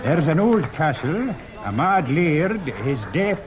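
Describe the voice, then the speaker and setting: An actor playing a genial, persuasive Scottish police superintendent in a radio drama, hearty and coaxing. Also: male voice, a little high, sing-song, slow pace. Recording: busy crowd behind radio dialogue. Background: crowd chatter.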